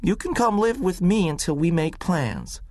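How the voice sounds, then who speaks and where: A man reading aloud and voicing a firm but kindly middle-aged woman country doctor who speaks slowly and insists gently. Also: high voice, sing-song, no echo, studio quality, voice pushed up.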